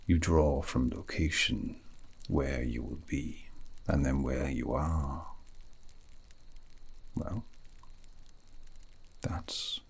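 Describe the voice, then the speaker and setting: Guy doing a wise gentle elf mage for asmr, super husky half whispered voice, gravelly, really slow and dreamy. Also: very deep voice, exaggerated voice. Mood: musing, gentle. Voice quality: breathy, gravelly. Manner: slow, halting, poetic, half-whispered murmur. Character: gentle, wistful elven dream mage, wise old shepherd of sorts. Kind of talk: ASMR fantasy roleplay, soothing sleep guidance.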